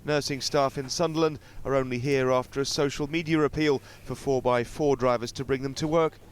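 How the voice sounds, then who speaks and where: A man delivering a scripted TV news report in a measured, formal way. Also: medium pitch, steady tone, clear, resonant tone. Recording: outdoor news report with street noise.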